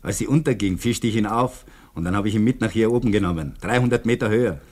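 A man acting a reflective Austrian construction worker in a 1950s radio play. His voice sits fairly low, and he speaks earnestly, like someone who thinks hard about his work. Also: quick pace, a little mellow, natural voice. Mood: earnest, musing. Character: thoughtful dam construction worker keeping a diary, philosophical. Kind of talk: 1950s German-language radio drama acting.

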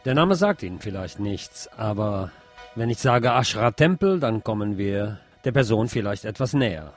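A man's voice: lowish voice, expressive, moderate pace. A man presenting a scripted radio feature as host. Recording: music behind the voice. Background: music.

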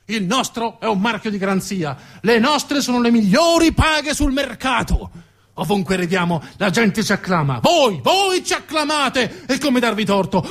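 A man playing a pompous factory director giving a declamatory, shouted rally speech to workers in a theatre piece. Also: extremely high pitch, full character voice. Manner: declamatory, drawn-out emphatic phrases, shouting.

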